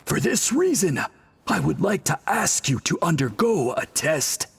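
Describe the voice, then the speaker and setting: A dutiful priest in an anime game, very husky and on the high side. He explains things formally and courteously, with animated swings in pitch. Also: unhurried, exaggerated voice. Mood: courteous, earnest.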